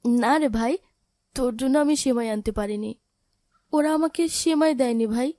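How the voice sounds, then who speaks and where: A woman plays an orphaned elder sister in a moral cartoon. Her voice is high, soft-hearted and sorrowful, with a pleading way of talking. The sound is very dry. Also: moderate pace, no room sound, natural voice. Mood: heartbroken. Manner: plaintive, pleading. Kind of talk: dramatic cartoon voice acting.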